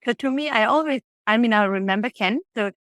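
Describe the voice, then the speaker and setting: A woman whose mother tongue is Tamil speaks in a fairly high voice, animated and earnest, often circling back to restart a thought. The sound is close and dry, with almost no room around it. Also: a little fast, dry recording. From Malaysia. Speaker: childcare center director. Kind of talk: conversational interview.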